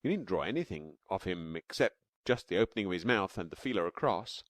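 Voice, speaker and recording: A man voicing a fond, excited cave-man father who explains patiently. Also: deep voice, a little fast, no room sound.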